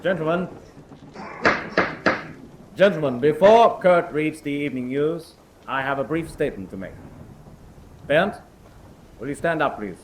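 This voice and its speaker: An actor playing a stern senior officer, making a formal, declamatory announcement in a radio drama. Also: male voice, fairly high pitch, lively intonation, a little slow, long pauses, slight background noise, poor audio.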